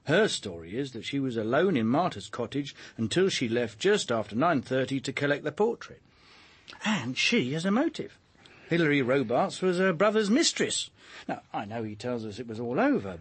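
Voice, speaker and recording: An actor playing a dogged police inspector with a British accent, blunt and argumentative in a radio drama. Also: male voice, a little high, husky, no echo.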